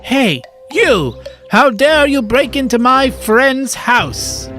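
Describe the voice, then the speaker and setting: A woman's voice: sits high, sing-song, slow pace, fairly bright tone, soft background music, full character voice. A woman playing a storybook fox with a showy confidence that hides a timid streak. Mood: confident, indignant. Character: confident fox who turns timid when challenged.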